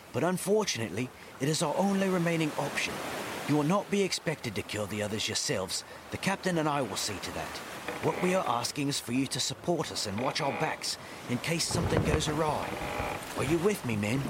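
A man's voice: fairly high pitch, very animated, fairly quick, background noise. A man playing a loyal, dutiful first officer on a sailing ship in an audio drama, formal and deferential in manner. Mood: earnest, persuasive. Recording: loud ship and sea ambience behind.

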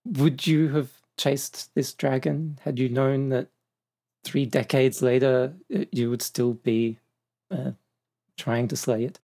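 A man hosting and narrating a scripted documentary in a measured, reflective way. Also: mid-range voice, fairly even intonation, warm tone, no room sound. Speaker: podcast host and narrator.

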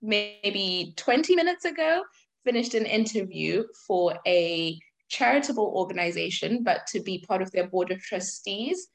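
A woman's voice: mid-range voice, animated, a little slow, creaky, rough recording. Reflective woman in her twenties. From Zimbabwe. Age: 20s. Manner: thoughtful, reflective, with fillers. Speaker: podcast guest, doctor. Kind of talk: conversational interview answers.

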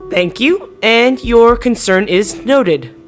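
A woman playing a stern team leader in an audio drama, with formal, dry, measured speech. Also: on the high side, animated, soft background music. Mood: dry, businesslike.

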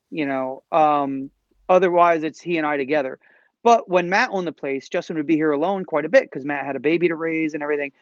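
A man's voice: a little high, no room sound. Wry joking man on a dull line. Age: 40s. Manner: joking, wry, acts out customers. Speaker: comic shop owner, guest. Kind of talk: casual interview chat.

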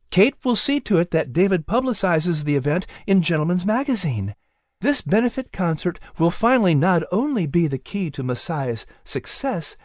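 A woman voicing a wise, gentle female butterfly who speaks calmly. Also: on the low side, dry recording, studio quality. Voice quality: calm, knowing.

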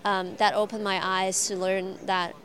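A young woman from Hong Kong tells her story as an interview guest. She talks in an earnest, casual way, full of "like" and "um", in a voice of middle pitch. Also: level tone, unhurried, a bit bright. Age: young adult. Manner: earnest, many fillers like "like" and "um". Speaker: guest, activist.

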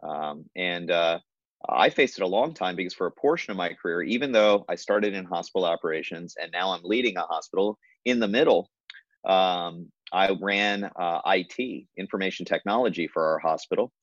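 Man, very low voice, dry dull lo-fi sound, thoughtful pauses, measured delivery. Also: no room sound. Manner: measured, many fillers and restarts. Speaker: hospital leader, guest. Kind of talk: reflective conversational interview answer.

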